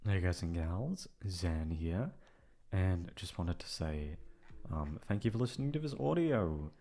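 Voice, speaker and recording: A man's voice: deep voice, lively intonation, measured pace, dark tone. A man chatting casually as a voice actor, rambling in a hesitant, self-deprecating way. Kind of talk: casual outro chat.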